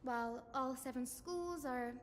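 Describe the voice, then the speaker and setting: High-voiced young game heroine. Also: female voice, a little slow, in a large hall, slight background noise. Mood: thoughtful. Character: young female game heroines, playful, coy and articulate. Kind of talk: scripted video game voice acting.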